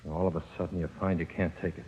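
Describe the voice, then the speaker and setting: Guy doing a dead convict's ghost in old radio drama, deep sly taunting voice, long spooky reverb. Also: flat delivery, close to an everyday voice. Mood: pained, grim. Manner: slow, uneducated slangy speech. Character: male ghost of an executed convict, eerily taunting. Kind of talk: 1940s radio acting. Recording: echoing, muffled old radio recording.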